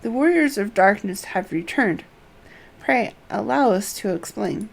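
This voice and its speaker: A woman's voice: mid-range voice, lively intonation, a little slow, gravelly, rough recording. A woman voicing a serious, businesslike strategist from a fantasy game, formal and explanatory. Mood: urgent, polite.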